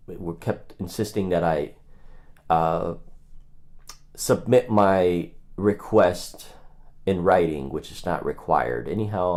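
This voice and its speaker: A man's voice: low voice, darkish tone. A man hosting a live call audit, speaking in an insistent, straight-to-the-point way as he makes phone requests and chats to his viewers. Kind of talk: phone requests and casual livestream commentary.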